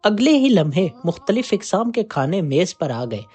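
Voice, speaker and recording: A storyteller reading a scripted moral folk tale in an expressive way. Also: medium pitch, normal speed, slightly rough, faint music behind.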